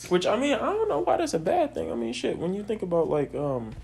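A man's voice: sits high, huge pitch swings, fast talker, vocal fry, darkish tone, a little hiss or hum. A man in casual chat, teasing and laughing often, his voice a bit nasal and sniffly from allergies.

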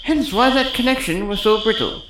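A woman's voice: on the high side, normal speed, echoey room, background noise, lightly acted. A woman playing a cynical, vain and condescending electrical technician in a farcical audio comedy. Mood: tense, dry. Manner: sarcastic, condescending.

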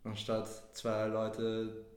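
A man's voice: fairly low pitch, monotone, drawn-out words, darkish tone, reverberant. A man in his twenties, a podcast guest, answering thoughtfully. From Vienna, Austria. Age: 20s. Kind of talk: casual podcast chat. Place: podcast studio.

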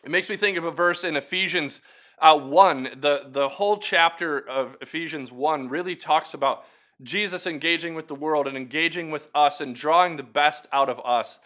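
Bright-voiced earnest male devotional host. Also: on the high side, normal speed. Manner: earnest, encouraging, conversational with asides. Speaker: host of a weekly video devotional. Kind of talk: short devotional talk to camera.

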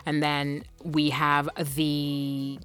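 A woman's voice: low voice, monotone, extremely slow, fairly bright tone, clear, resonant tone. A young woman from Ghana, a podcast guest chatting casually, with many fillers and restarts. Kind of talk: casual chat.

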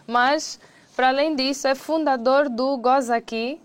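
A woman's voice: high-pitched, steady tone, a little slow, clear, resonant tone. A woman hosting a casual interview, talking informally and dropping in English words. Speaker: interview host.

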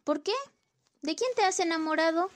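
A woman's voice: very high-pitched, normal speed. A woman acting a flippant, bossy wife who nags her husband, in an amateur radio play. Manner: flippant, nagging. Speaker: student radio drama actor.

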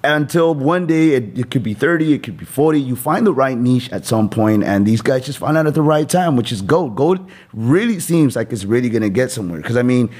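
A man's voice: medium pitch, lively intonation, fairly quick, a little mellow. A man in his thirties hosting a podcast interview in a casual, motivational style, with informal, rambling talk and some swearing. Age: 30s. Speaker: podcast host.

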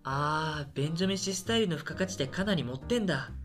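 Radio drama role for a man: timid, mild male student, deadpan, light voice with animated intonation, guitar music behind. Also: a little high, brisk. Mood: musing. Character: shy lonely male university student, soft-spoken, quietly scheming. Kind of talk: radio drama dialogue and first-person narration.

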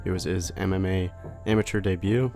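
A young man hosting a podcast, sounding congested from a cold, chatty and relaxed, laughing often. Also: low-pitched, a little fast, soft background music. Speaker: podcast host. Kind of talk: casual podcast chat.

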